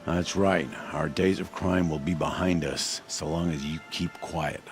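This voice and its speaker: A man's voice: low-pitched, fairly even intonation, unhurried, soft background music. A man playing a cranky, wry village trader in a video game, with a sardonic, grumbling, offhand manner. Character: cranky, wry male village trader dealing illicitly with outcasts. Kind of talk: video game cutscene acting.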